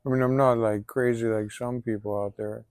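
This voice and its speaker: A man in his forties from Hawaii, a tattoo artist and swimmer, talking in a relaxed, easygoing way as the guest, outdoors after a swim. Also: mid-range voice, moderate pace, clear, resonant tone, no room sound, studio quality. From Hawaii, Oahu. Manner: relaxed, even-toned, filler-heavy. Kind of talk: casual outdoor interview.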